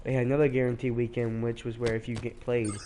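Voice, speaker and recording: A man's voice: mid-range voice, level tone, fast, dark tone, slight background noise. A teenage boy with a stuffy, sick voice, rambling through casual commentary while he plays a shooter, full of restarts. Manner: rambling, hesitant, with many restarts. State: sick, congested. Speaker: streamer.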